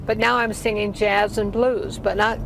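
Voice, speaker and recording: A woman's voice: mid-range voice, little pitch movement, normal speed, vocal fry. Brief: woman, 80s, rambling storyteller, outdoors with audible traffic noise behind her. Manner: chatty, rambling, many restarts. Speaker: guest, singer. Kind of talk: interview storytelling.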